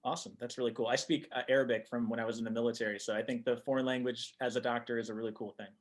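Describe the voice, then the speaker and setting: A man hosting an interview, asking stock questions in a relaxed, friendly way with frequent "uh". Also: mid-range voice, racing. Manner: relaxed, friendly, uses "uh" and "kind of".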